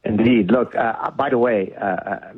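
A man's voice: on the low side, a little slow, slightly rough, dry recording. A man from Lebanon whose first language is Arabic, a guest author speaking bluntly and argumentatively in a radio interview over the phone. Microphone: phone line. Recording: tinny phone line.

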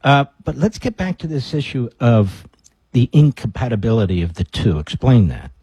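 Male radio host, husky low voice, probing questions, restarts often, dry sound. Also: expressive, normal speed, warm tone, dry recording. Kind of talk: radio interview.

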